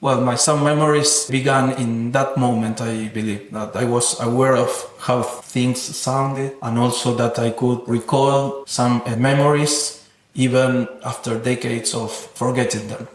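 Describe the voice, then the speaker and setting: A man's voice: mid-range voice, steady tone, measured pace. Reflective man in an echoey room. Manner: thoughtful, academic wording. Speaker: interviewed sound artist. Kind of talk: reflective interview talk about own work. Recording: echoey, roomy sound.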